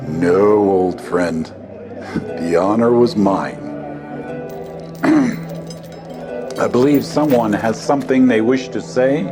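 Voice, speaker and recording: An actor playing a gracious, stately lord in a fantasy audio drama. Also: male voice, lowish voice, slow pace, long pauses, music in the background. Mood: solemn, warm. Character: gracious, stately lord bidding comrades farewell.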